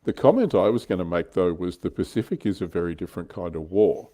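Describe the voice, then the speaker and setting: A man's voice: low-pitched, lively intonation, fast, crowd noise. A man co-hosting a livestream, chatting casually and explaining his opinions on a hobby. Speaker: livestream co-host. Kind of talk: casual hobby chat about tabletop wargames.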